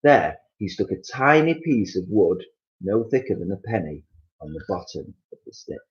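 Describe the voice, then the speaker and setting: A man reading a children's story aloud as an expressive narrator, on a slightly muffled recording. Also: on the low side, moderate pace.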